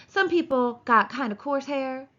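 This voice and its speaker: Woman playing a vain, high-pitched young ranch wife. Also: animated, fairly bright tone, dull audio. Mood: complacent. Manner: folksy, complacent drawn-out speech. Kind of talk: audiobook character voice.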